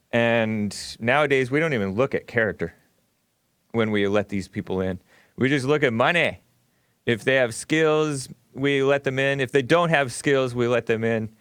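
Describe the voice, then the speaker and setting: Rambling man with a smooth, dry voice. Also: medium pitch, fairly bright tone, no room sound. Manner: rambling, with frequent fillers and self-corrections. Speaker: co-host of a stream. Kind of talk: casual monologue.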